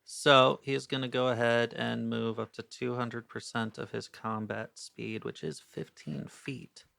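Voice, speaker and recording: A man's voice: mid-range voice, flat delivery, a little slow, gravelly, sharp tone, no room sound. A man acting as game master, casually narrating moves and rules in a hesitant, apologetic, think-aloud manner.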